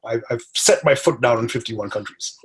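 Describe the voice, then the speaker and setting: A husky man in his forties, from Dubai, talks through his past in a casual, rambling way. He keeps checking in with his listener as he goes, at an ordinary middle pitch. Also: moderate pace. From grew up in Dubai. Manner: chatty, tags lines with "right?" and "you know". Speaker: podcast guest. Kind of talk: casual interview storytelling.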